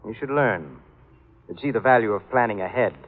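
An actor playing a cold, scheming, domineering villain, condescending and commanding, in an old-time radio drama. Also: male voice, on the low side, lively intonation, fairly quick, phone-quality sound, natural voice. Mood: coldly smug. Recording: noisy, phone-thin old radio recording.

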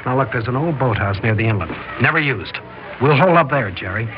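An actor playing a wary, commanding small-time gang boss in a vintage radio drama, bossy and full of street slang. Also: male voice, medium pitch, animated, brisk, lightly acted. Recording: muffled, noisy old radio recording.

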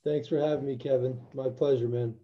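A man's voice: mid-range voice, steady tone, fairly quick. Young man from Albania, earnest interview guest; muffled remote audio. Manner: earnest, reflective, many restarts. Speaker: interview guest, former football player. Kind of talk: casual interview.

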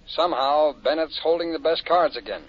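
A man's voice: on the high side, fairly quick, no echo, lightly acted. An actor playing an anxious insurance company man in a 1950s radio drama, earnest and worried, with an American accent. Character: anxious male insurance company colleague of the detective. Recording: dull old radio recording.

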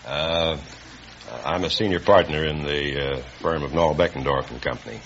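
Low-voiced polite man in his fifties from Missouri. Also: steady tone, normal speed, a bit bright, highs cut off, a little hiss or hum. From Missouri, United States. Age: 50s. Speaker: quiz contestant, businessman. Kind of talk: unscripted interview answers.

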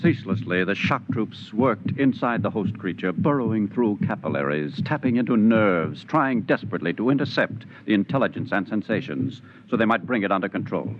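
A male actor as a grave storyteller for a vintage radio drama. He delivers scripted narration dramatically in a lowish voice. The old recording is dull and heavy with noise. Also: lively intonation, brisk, few pauses, close to an everyday voice. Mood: grave, dramatic.

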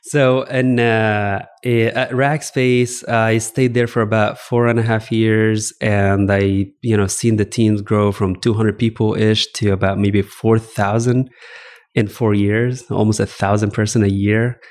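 Guy from egypt, middle-aged founder, lowish steady voice, laughs a lot, lots of uhs. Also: a little slow. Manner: laughs often, fillers like "uh". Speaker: startup founder, guest. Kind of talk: casual interview talk. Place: office coworking space.

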